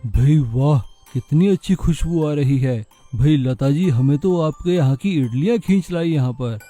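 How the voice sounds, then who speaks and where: A man's voice: fairly high pitch, unhurried, mellow, faint music behind, natural voice. A man voicing a hearty, kindly factory manager in a cartoon story, jovial yet formal.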